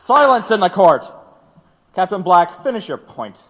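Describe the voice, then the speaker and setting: A man's voice: high-pitched, very animated, unhurried, a bit bright, full character voice. Man acting a stern judge in an echoey hall. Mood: stern, commanding. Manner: curt, commanding. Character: stern, dismissive male trial judge keeping order. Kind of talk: stage-like historical audio drama acting. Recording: echoey hall, clear noise.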